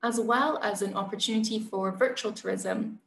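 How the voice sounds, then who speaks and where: Woman, 30s, earnest scripted presenter, some vocal fry, roomy sound. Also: mid-range voice, moderate pace, a bit bright. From Barbados. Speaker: conservationist and presenter. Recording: roomy echo, light background noise.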